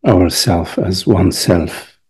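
Very slow, husky, deep-voiced meditation man. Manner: hushed, unhurried, long pauses between short phrases. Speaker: meditation teacher. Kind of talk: guided meditation.

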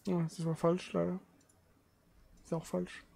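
A man's voice: high voice, completely flat delivery, a little slow, darkish tone. A man doing casual gaming commentary, thinking aloud in a slangy, grumbling way. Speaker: let's player. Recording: clear background noise.

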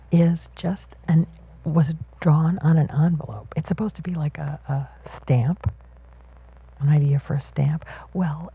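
A woman voicing a live sign language interpretation of a panel talk, halting, with fillers and restarts as she follows the signer. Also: low-pitched, dark tone, breathy edge.

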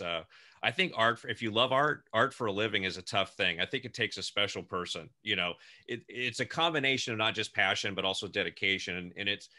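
A man's voice: on the low side, pushed and bright. A man in his sixties, co-hosting a casual interview, reminiscing with frequent laughs and restarted phrases. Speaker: co-host, former child actor. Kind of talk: casual video-call chat.

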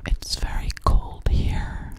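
A woman's voice: takes it slowly, close to an everyday voice. A young woman playing a sweet, slightly sassy alien girl in a soothing ASMR roleplay, whispering breathily close to the microphone and repeating her words. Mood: soft, coaxing. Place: small dim living room, indoors. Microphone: close studio microphone with foam cover, binaural.

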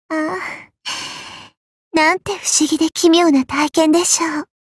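A woman voicing a petite, haughty, teasing goddess for a game, with elegant, coy, ladylike speech and a soft, breathy tone. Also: high voice, dry recording, clean studio sound, exaggerated voice. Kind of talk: Japanese mobile game character voice lines.

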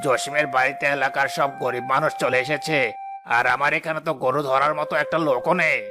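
A man's voice: medium pitch, fairly even intonation, fairly quick, close to an everyday voice. A man playing a proud village headman in a moral cartoon. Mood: dismayed. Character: proud, vengeful village headman, later humbled and remorseful. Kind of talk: Bengali moral cartoon dialogue. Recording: music behind the voice.

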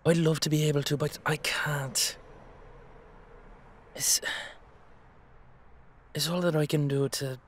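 A man's voice: fairly high pitch, steady tone, slow, long pauses. A man playing a protective, brotherly dullahan with an Irish accent, reassuring and colloquial in an audio drama. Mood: quiet, grave.

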